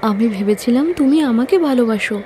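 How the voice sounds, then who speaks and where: A woman voicing excitable, playful young twin sisters who chatter and exclaim in a cartoon story. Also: fairly high pitch, fairly quick, close to an everyday voice. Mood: excited, playful. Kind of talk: cartoon moral story voice acting.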